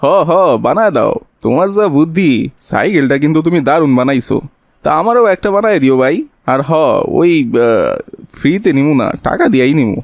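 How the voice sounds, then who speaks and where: A man's voice: on the high side, sing-song, moderate pace, creaky, dry recording. A man voicing a sly, greedy, scheming thief in a cartoon, with a colloquial Bangladeshi Bengali accent. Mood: falsely friendly. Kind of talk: cartoon voice acting.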